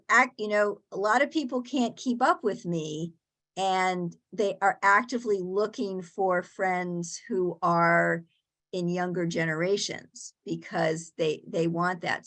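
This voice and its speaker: A woman speaking as a guest on aging, thoughtful and earnest, pausing often to gather her words. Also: mid-range voice, fairly bright tone. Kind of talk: advice talk in a panel webinar.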